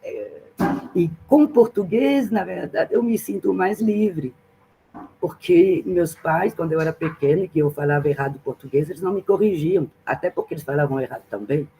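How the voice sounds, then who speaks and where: A woman's voice: fairly low pitch, normal speed, warm tone, a little hiss or hum, poor audio. A woman from France with a French accent in Portuguese, giving a reflective academic talk as a guest speaker and thinking aloud with many fillers and tag questions. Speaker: guest speaker, translation professor. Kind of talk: academic talk in an online seminar.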